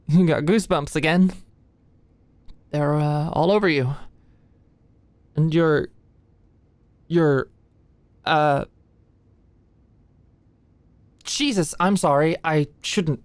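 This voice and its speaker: Low-voiced, nervous young female med student acted out by a woman. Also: darkish tone, dry recording. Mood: flustered, shy. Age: young adult. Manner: stammering, hesitant, muttering asides under breath. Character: anxious, flustered young female medical student, shy and apologetic. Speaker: voice actor in audio roleplay. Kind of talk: intimate ASMR roleplay.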